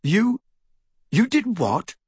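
A man's voice: fairly high pitch, big pitch swings, unhurried, slightly rough, no echo, clean studio sound, heavily acted. A man voicing a determined, hot-headed teenage boy in an audiobook, with an insistent, fierce manner. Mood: eager questioning.

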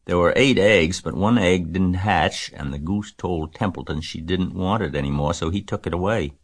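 A man's voice: low voice, moderate pace. Man reading as an earnest, chatty young girl. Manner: earnest, matter-of-fact.

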